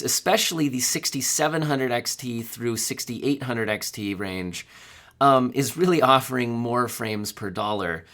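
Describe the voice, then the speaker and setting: A man in his thirties with a hoarse voice from a cold, talking through hardware news in a casual, conversational way with fillers and self-corrections, recorded on a studio mic at home. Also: medium pitch, a bit bright. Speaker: tech news host. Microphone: studio condenser mic.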